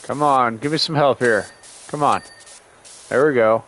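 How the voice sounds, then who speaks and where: A man gives loose, sarcastic running commentary while he streams a game, griping at its characters as if they could hear him. His voice sits at an ordinary middle pitch, and he is still shaking off a cold that has left him with a lingering cough. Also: a little slow, fairly bright tone, a little hiss or hum. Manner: sarcastic, grumbling, talks back to the game's characters. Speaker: gaming streamer. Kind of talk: casual livestream game commentary.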